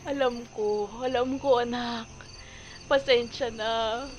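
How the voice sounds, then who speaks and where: A woman's voice: fairly high pitch, steady tone, slow pace, slight background noise. A woman playing a loving, apologetic working mother in a sentimental audio drama, soothing and emotional, with a Filipino accent. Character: loving, apologetic working mother far from her sick daughter.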